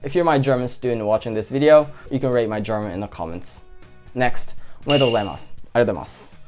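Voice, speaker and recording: A man with a Japanese accent hosting a cooking channel, calmly reading out viewer questions and answering them. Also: mid-range voice, music in the background. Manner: calm, gentle, unhurried.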